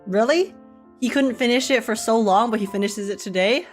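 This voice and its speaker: A woman's voice: on the high side, normal speed. A woman live streamer giving casual, chatty, giggly commentary on a game. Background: game music.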